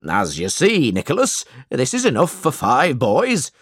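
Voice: medium pitch, huge pitch swings, measured pace, slightly rough, no echo, studio quality, full character voice. A storybook reader voicing a stingy, blustering middle-aged male schoolmaster who talks in a bossy, falsely generous way.

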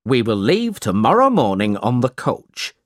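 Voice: mid-range voice, very animated, unhurried, no echo, studio quality. A storybook reader voicing a stingy, blustering middle-aged male schoolmaster who talks in a bossy, falsely generous way. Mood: brisk.

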